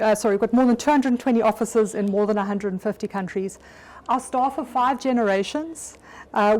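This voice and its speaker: A woman's voice: fairly high pitch, a little mellow. A middle-aged woman with a South African accent giving a conference presentation in a loose, conversational way, with frequent ums and restarts. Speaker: conference speaker. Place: conference room.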